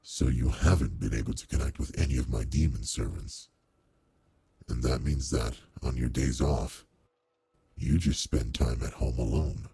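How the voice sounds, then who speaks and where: A man voicing a commanding, old-fashioned demon lord in a roleplay audio drama, speaking formally and with measured authority to one servant, with quiet care underneath. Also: no echo, heavily acted. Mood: thoughtful, caring. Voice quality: very deep, gravelly, husky.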